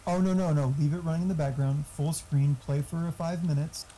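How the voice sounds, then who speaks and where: A man's voice: a little high, steady tone, brisk, dark tone, poor audio. A man chatting casually and giving tech advice in a blunt, explanatory, sweary way. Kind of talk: casual chat. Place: online voice chat.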